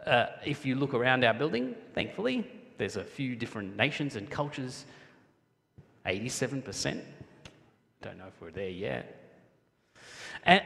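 A man's voice: on the high side, fairly bright tone. The man you would hear from the pulpit on a Sunday, a pastor from Sri Lanka rising and dipping expressively as his words ring around a big echoing church. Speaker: pastor preaching to his congregation. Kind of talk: sermon. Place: large church hall, speaking to a congregation. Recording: echoey hall with long reverb.

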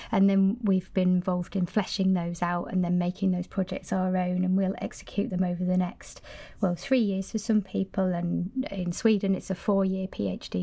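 Brief: young woman from northern England, warm mellow voice, chatty, restarts sentences. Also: on the low side, vocal fry. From Cumbria, northern England. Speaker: doctoral student guest. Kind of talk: podcast interview.

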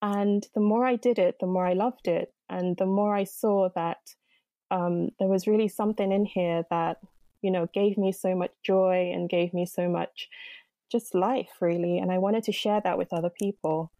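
A young woman from Nigeria speaks as a podcast guest, her voice mellow and a little low. She tells her story at length, loose and chatty, with plenty of fillers. The recording is close and dry. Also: fairly even intonation, normal speed, no room sound. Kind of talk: interview conversation.

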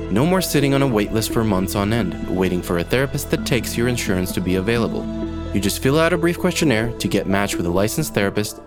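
Guy doing a podcast ad read, lowish voice, conversational, bit of music. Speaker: sponsor ad reader.

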